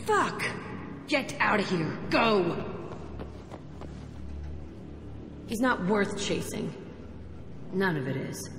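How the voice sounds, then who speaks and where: A woman's voice: medium pitch, big pitch swings, slow pace. A woman playing a hardened, foul-mouthed, wounded young ex-prisoner in a video game, blunt and defiant in delivery. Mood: furious. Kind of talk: video game cutscene acting. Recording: echoey hall, clear background noise.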